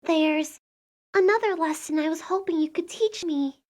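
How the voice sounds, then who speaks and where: A woman's voice: extremely high pitch, moderate pace, darkish tone. A woman playing an earnest, affectionate, sheltered young princess with a girlish manner in a video game fandub. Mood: hopeful, shy.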